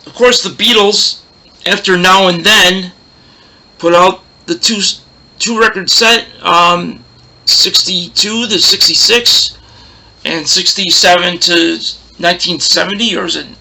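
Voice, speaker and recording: A man with a big, loud, roaring voice hosting a casual record collection show, chatting in a rambling way and losing his train of thought. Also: high voice, measured pace, vocal fry, poor audio. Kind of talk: casual show-and-tell chat.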